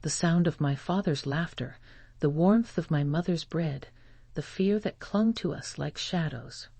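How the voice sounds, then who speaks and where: A narrator reads a scripted first-person story for language learners in a measured, careful way. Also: mid-range voice, vocal fry, mellow, breathy edge, dry recording.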